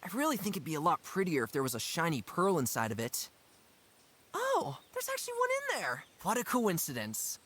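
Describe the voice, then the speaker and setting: A woman's voice: lowish voice, wildly swooping pitch, fairly quick, a bit bright, slightly rough, heavily acted. A woman voicing a polite, earnest young deer adventurer in a cartoon adventure game, chatty and playful. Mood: teasing.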